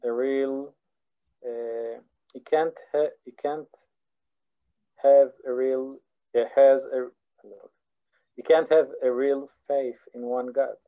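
Israeli-accented man, slow deliberate speech, earnest, stumbles and repeats words, dull lo-fi sound. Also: mid-range voice, steady tone, smooth. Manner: earnest, halting, repeats words while searching for English. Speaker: guest scholar. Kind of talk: philosophical interview answers. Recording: dull lo-fi audio.

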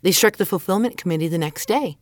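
Low-voiced stern mother, played by an actress. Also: fast talker, no echo, studio quality, close to an everyday voice. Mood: evasive, casual. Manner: insistent, guilt-laden pleading and scolding. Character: stern, tradition-bound mother, middle-aged, exasperated with her child. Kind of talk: naturalistic audio drama acting.

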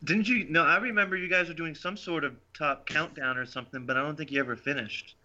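A man's voice: a little high, fast, bright, forceful tone, lo-fi sound. A man chatting casually on a podcast, teasing the hosts. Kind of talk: casual chat.

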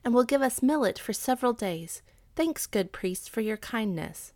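Woman acting a plaintive poor old widow, pitch lifted. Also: expressive, normal speed, darkish tone, no room sound. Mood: eager. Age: older. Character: poor old Chinese widow, plaintive, fretful, devoted mother.

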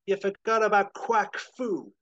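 A man's voice: sits high, unhurried, bright, forceful tone, no echo, highs cut off, pitched above the performer's own voice. A man voicing a plucky, defiant talking duck hero who delivers bold one-liners in a tabletop game.

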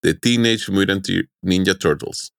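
A man's voice: low voice, takes it slowly, creaky, a bit bright, clear, resonant tone, no echo, studio quality. A man with a Mexican accent, a podcast host telling stories to friends in a jokey, colloquial way. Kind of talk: casual podcast storytelling with friends.

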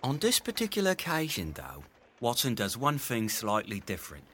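A man's voice: medium pitch, huge pitch swings, normal speed, a bit bright. A man playing a wordy, theatrical Victorian narrator who addresses the listener in a florid, wry manner. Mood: wryly explanatory. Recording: noticeable ambient background noise.

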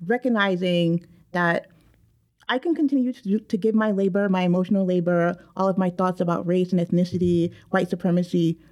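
A woman born in Guyana, speaking in a measured, earnest way about identity in a panel discussion. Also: lowish voice, steady tone, a little mellow. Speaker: panel guest. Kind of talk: reflective personal discussion.

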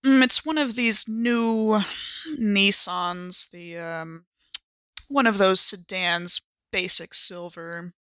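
A woman's voice: mid-range voice, slow, bright, forceful tone, smooth, no echo. A woman chatting casually and wryly as a player in a tabletop role-playing game.

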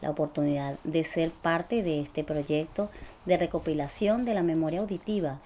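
Venezuelan woman reading her own story, low mellow voice, slow and careful, faint noise behind. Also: fairly even intonation. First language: Spanish. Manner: measured, deliberate reading. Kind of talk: reading a personal memoir.

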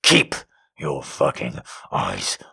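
A man voicing a commanding, growling male lover who speaks low and often in a hushed whisper. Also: huge pitch swings, extremely slow, vocal fry, slightly rough, exaggerated voice. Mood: domineering.

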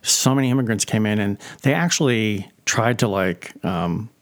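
A man hosting a casual podcast interview, his voice sitting somewhat low. He talks loosely, circling back with fillers and restarts as he thinks aloud. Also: normal speed. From Pennsylvania. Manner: rambling, lots of fillers and restarts. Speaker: podcast host.

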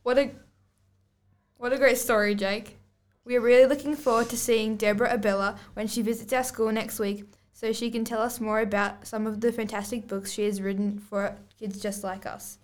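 A woman's voice: fairly high pitch, steady tone, moderate pace. A girl of about eleven or twelve reading scripted storybook narration aloud as a student podcast host. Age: child, about 11 to 12.

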